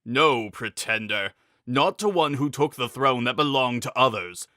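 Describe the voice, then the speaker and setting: A man's voice: mid-range voice, expressive, measured pace, pushed and bright, no room sound, clean studio sound. A man voicing a proud, vengeful villain knight in a dramatic fantasy story reading, scornful and declamatory. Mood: offended, scornful.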